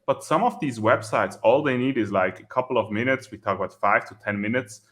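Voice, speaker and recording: A man with a strong German accent, calmly explaining the technical side as a security researcher co-hosting a video talk. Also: mid-range voice, a little fast. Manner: methodical, explanatory.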